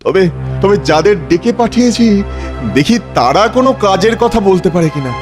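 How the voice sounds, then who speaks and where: A man's voice: high voice, expressive, a little fast, over music. A man voicing a bewildered, anxious new king in a cartoon, flustered and repeating his words. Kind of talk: cartoon voice acting.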